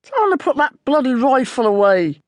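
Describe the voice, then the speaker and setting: A woman's voice: high voice, big pitch swings, darkish tone, no room sound, pitched above the performer's own voice. Woman acting a surly farmer. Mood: hostile, forceful. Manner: gruff rustic talk, slow and hostile, cursing. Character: surly, hostile, short squat older male farmer.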